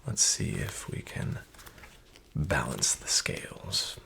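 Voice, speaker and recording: Guy doing a shady casino dealer asmr, super deep slow whisper, fake polite and smug. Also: very animated, creaky, heavily acted. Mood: smarmy. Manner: soft, half-whispered, smarmy and falsely polite. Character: sketchy smug male casino dealer, condescending. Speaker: ASMR roleplayer. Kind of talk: soft-spoken ASMR roleplay.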